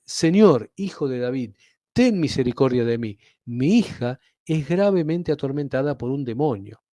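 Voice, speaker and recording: A man's voice: medium pitch, warm tone, dry recording, studio quality. A man with an Argentine accent giving a Bible teaching, explaining step by step and often checking in with listeners. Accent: Argentine Spanish. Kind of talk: teaching sermon.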